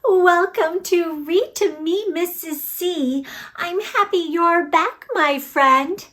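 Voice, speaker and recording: A woman hosting a children's read-aloud, speaking expressively and deliberately in simple phrases for young listeners. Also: very high-pitched, fairly bright tone, no echo.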